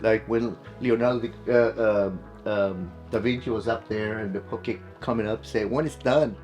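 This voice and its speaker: Low-voiced middle-aged Korean-accented man. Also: darkish tone, faint music behind, low-quality recording. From South Korea. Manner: halting, repeats "you know". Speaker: client visiting a workshop. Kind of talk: casual conversation.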